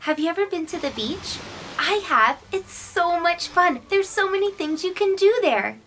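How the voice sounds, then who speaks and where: A woman's voice: very high-pitched, expressive, normal speed, a bit bright, slight background noise. A woman hosting a children's read-aloud, speaking expressively and directly to young listeners.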